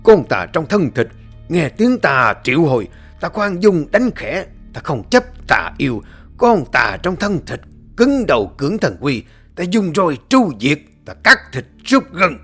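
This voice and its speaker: A man's voice: on the low side, big pitch swings, quick pace, darkish tone, slightly rough, soft background music, exaggerated voice. A man voicing an old male exorcist who interrogates a spirit and chants incantations. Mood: chanting.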